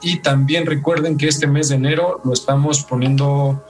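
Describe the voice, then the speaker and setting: Mexican man, podcast host, scripted true-crime narration, somewhat high pitch, faint music bed. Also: fairly even intonation, normal speed, distant mic.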